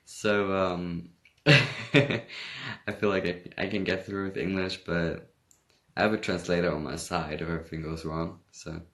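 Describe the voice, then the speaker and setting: A man's voice: deep voice, fairly even intonation, a little slow, long pauses, fairly bright tone. A young man from Hamburg with a German accent, chatting casually on a livestream, reading viewer comments and laughing often. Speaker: livestream host.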